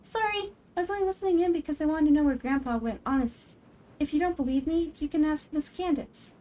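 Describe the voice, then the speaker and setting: A woman's voice: sits high, brisk, dark tone, slight background noise, pitched above the performer's own voice, close to an everyday voice. A woman voicing an earnest, apologetic young village boy from a video game. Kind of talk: video game dialogue read-along.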